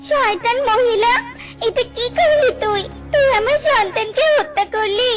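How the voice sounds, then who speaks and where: A woman voicing a furious, vengeful mother butterfly in a cartoon fairy tale, menacing and drawn-out as she curses. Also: very high-pitched. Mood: furious, cursing. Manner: menacing, drawn-out accusing delivery. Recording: loud music behind the voice.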